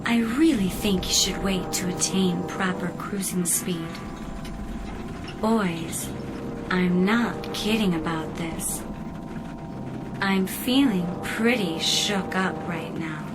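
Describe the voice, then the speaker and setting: A woman playing a chatty spaceship computer in a radio drama, giving polite, motherly warnings in a measured way. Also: lowish voice, loud music behind the voice. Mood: chiding.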